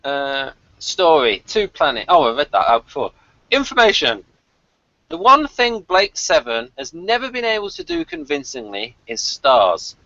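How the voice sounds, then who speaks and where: Male review co-host. Wry, higher voice, big swings in pitch, casual. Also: huge pitch swings, unhurried, sharp tone, no echo, highs cut off. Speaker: podcast co-host.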